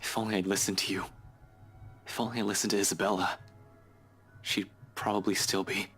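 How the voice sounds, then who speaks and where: A stubborn young man in a horror visual novel. His lowish voice carries guilt and frustration, with music playing behind him. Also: flat delivery, fairly quick, a bit bright, slightly rough. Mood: grieving, guilty.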